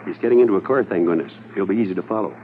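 A man's voice: deep voice, very fast, close to an everyday voice. An actor playing an eager, dutiful junior policeman, earnest and deferential, in 1950s radio drama style. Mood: alert. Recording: noisy, narrow-band 1950s radio transcription.

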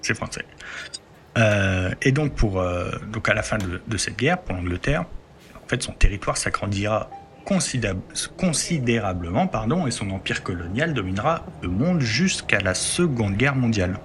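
A man telling history in a conversational podcast, explaining at length and filling pauses as he builds his sentences. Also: fairly low pitch, animated, a bit bright, slight background noise. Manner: explanatory, leans on filler words while building sentences.

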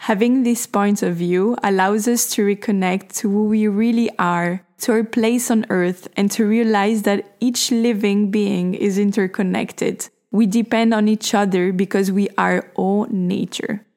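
A woman hosting a podcast, reading a calm, reflective scripted narration. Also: mid-range voice, measured pace, few pauses. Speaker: podcast host.

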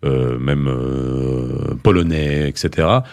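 A man hosting an interview, asking long questions in an animated, chatty way and laughing easily. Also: extremely low pitch, takes it slowly, creaky. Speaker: interviewer host.

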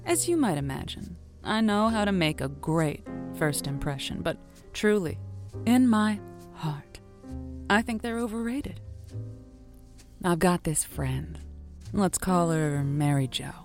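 Radio call-in hostess, lowish female voice, wry, playful, theatrical storytelling, audio drama, music behind. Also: lively intonation, slow pace, a little mellow. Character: wry, theatrical woman hosting a late-night lonely-hearts radio show. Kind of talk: semi-improvised audio drama monologue.

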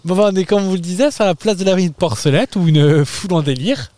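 A man's voice: high voice, fast talker, dark tone. A man co-hosting a podcast outdoors on a town square, chatting casually in a playful, teasing way and laughing often. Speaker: podcast co-host. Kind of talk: casual chat.

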